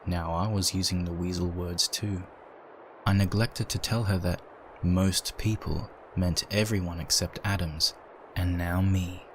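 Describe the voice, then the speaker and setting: A man with a deep, low voice narrates a dramatic first-person story. He reads from a script, with noticeable ambient sound behind him. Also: fairly even intonation, unhurried, slight background noise, poor audio.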